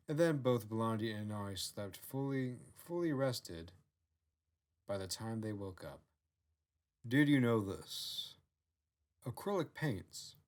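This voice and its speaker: A man with a lowish voice delivers scripted narration. He reads as an author presenting his own written work.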